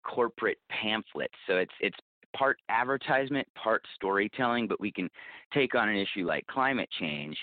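A man giving a thoughtful, conversational interview as a guest, explaining things in a measured way. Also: lowish voice, expressive, no room sound. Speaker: podcast creator, interview guest. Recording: tinny phone-like line, dry.

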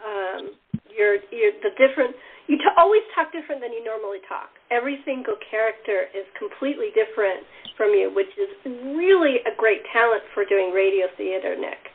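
A woman's voice: a little high, slightly rough. A woman hosting a casual cast chat in an effusive, encouraging manner. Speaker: host and radio playwright in a cast interview. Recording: tinny phone-like line, faint noise and clicks.

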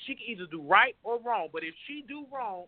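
Young male caller, twenties, passionate and plainspoken, higher voice, dry phone-line sound. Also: expressive, fairly quick, no room sound. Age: 20s. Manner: impassioned, speaks in vernacular. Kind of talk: emotional call-in testimony.

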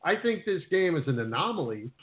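A man co-hosting a sports radio show, talking casually and haltingly, often repeating words as he works through his point. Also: fairly high pitch, big pitch swings. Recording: roomy, thin phone-like sound.

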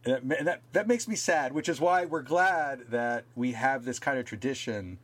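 A man's voice: medium pitch, normal speed, fairly bright tone. A middle-aged man co-hosting a podcast, chatting casually and comedically in a wry, mock-earnest way. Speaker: podcast co-host.

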